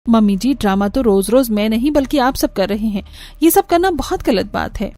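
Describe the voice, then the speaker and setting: A woman's voice: a little high, animated, moderate pace, warm tone, dry recording, lightly acted. A woman voicing an earnest, uneasy but firm young daughter-in-law in a cartoon. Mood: angry, defiant. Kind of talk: cartoon voice acting.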